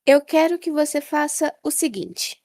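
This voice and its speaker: A Brazilian woman playing a commanding female netrunner in an audio drama, speaking slowly, deliberately and imperiously. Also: high-pitched, lively intonation, a little mellow, no room sound.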